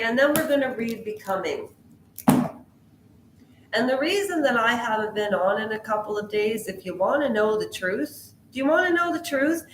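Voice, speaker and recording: A woman's voice: a little high, a bit bright, slight background noise. A woman vlogging pop culture commentary in a rambling, casual way, jumping between tangents and firing questions at her viewers. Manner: chatty, jumps between tangents, quizzes the viewer. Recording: echoey room.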